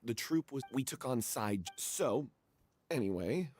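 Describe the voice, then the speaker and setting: An actor playing a guilt-ridden, cowardly young troupe member in a video game, halting and apologetic. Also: male voice, mid-range voice, lively intonation, darkish tone. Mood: ashamed, confessing. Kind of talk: dramatic video game voice acting.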